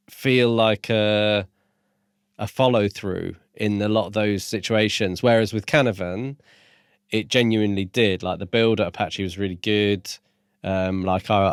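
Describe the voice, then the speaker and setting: A man with a fairly low voice co-hosts a casual book podcast. He thinks aloud in a reflective, somewhat hesitant way, circling toward his point. The recording is dry and close, with no room sound. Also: clean tone, no echo.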